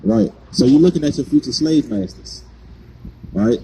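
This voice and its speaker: A man's voice: a little high, big pitch swings, normal speed, vocal fry, dark tone, slight background noise, poor audio. Insistent male street preacher. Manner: insistent, hammers points with repeated "right?".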